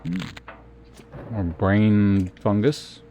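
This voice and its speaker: A man's voice: fairly low pitch, steady tone, very slow, mellow, slight background noise. A man streaming a video game, giving casual live commentary and thinking aloud in short muttered remarks.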